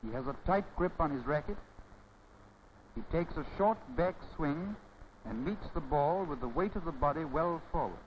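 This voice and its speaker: An old, dull-sounding film soundtrack with faint noise carries a man's commentary. His voice sits a little high for a man. He speaks formally and didactically in measured, scripted phrases, in the manner of a 1930s instructional film. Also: animated.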